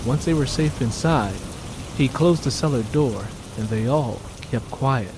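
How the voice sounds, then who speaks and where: A man's voice: medium pitch, measured pace, noisy background. A man with an American accent reading dramatic scripted audiobook narration. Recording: rain and music behind the voice.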